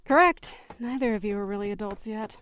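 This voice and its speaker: A woman's voice: medium pitch, brisk, a bit bright, clear, resonant tone, lightly acted. A woman playing a firm, protective, teasing mother of two in an audio drama, instructing patiently.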